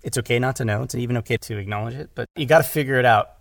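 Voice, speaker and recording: A man talking casually on a podcast as a director, animated and halting, repeating words as he thinks. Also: medium pitch, vocal fry, no room sound. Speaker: podcast speaker, audio drama director. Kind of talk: casual conversational discussion.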